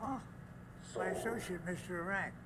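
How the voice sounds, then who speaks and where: An actor playing an elderly, calculating crime boss in a video game, speaking in a measured, imperious, coolly menacing way. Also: male voice, high voice. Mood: coolly sly. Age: older. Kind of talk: video game cutscene acting. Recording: echoey hall, background noise.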